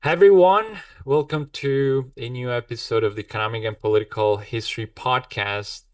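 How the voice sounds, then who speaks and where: A man hosting an interview podcast, talking warmly and repeating words as he searches for phrasing. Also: mid-range voice, moderate pace, recorded from a distance, faint music behind. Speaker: podcast host.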